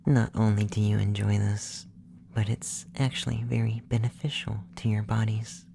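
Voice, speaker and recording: A performer playing a calm, clinical, gently curious young effeminate male alien abductor in a soft, breathy, often whispered ASMR roleplay, speaking slowly and soothingly. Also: medium pitch, noisy background, natural voice. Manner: slow, soothing, softly spoken, matter-of-fact.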